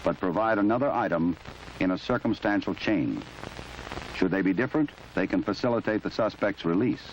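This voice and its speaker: A man reading formal, measured, instructional narration for a training film, in a precise scripted documentary style. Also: deep voice, lively intonation. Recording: muffled, noisy old 1970s film soundtrack.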